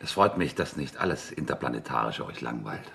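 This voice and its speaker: An actor in a German radio drama playing a hearty, self-important and prickly space captain. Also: male voice, a bass voice, expressive, brisk, gravelly, fairly bright tone, slight background noise, heavily acted. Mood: cold, needling. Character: boastful, prickly space captain, admired old schoolmate.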